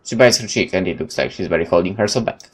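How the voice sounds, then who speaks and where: A man's voice: deep voice, racing. A man hosting a gaming video, expressively reading out game text and adding his own commentary. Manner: expressive, reads game text aloud with interjections. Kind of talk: let's play narration and commentary.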